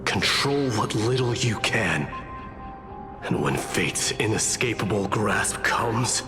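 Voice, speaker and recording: An actor playing a stoic, mighty warrior lord in a video game, making stern, weighty declarations in archaic wording. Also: male voice, on the low side, animated, slow pace, music in the background. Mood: stern, grave. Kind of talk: dramatic video game acting.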